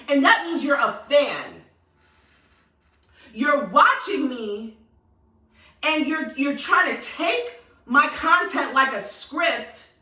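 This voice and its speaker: A woman's voice: high voice, roomy sound, far from the mic. A woman from New York with a New York accent, ranting passionately and sassily straight to her audience with emphatic repetition. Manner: animated, sassy, emphatic repetition. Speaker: vlogger. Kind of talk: passionate direct-to-camera rant.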